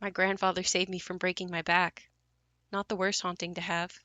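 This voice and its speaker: An actress plays a young woman narrating memories of a haunted house in an audio drama. Her voice is clear-edged and bright, recorded close and dry. She confides in a matter-of-fact, wry and reflective way. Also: on the low side, level tone, normal speed, no room sound, dull audio. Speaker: narrator and lead of an audio play. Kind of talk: first-person dramatic monologue.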